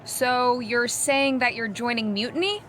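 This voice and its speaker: A woman's voice: a little high, unhurried, a bit bright, slight background noise, poor audio. A woman playing a polished backstage wrestling interviewer in a video game, asking probing questions. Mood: curious.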